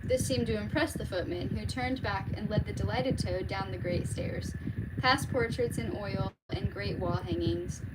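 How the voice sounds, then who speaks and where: A woman's voice: medium pitch, normal speed, very noisy. A woman reading a storybook aloud as narrator. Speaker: reader, streamer.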